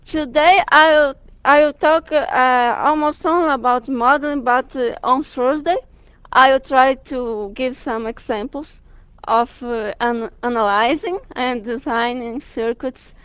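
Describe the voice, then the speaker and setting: Brazilian-accented woman, guest lecturer, slow careful speech, smooth clear voice, dull lo-fi recording. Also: high-pitched, a bit bright, dry recording. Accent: Brazilian Portuguese. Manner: slow, careful delivery with frequent hesitations. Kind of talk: university lecture. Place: lecture hall.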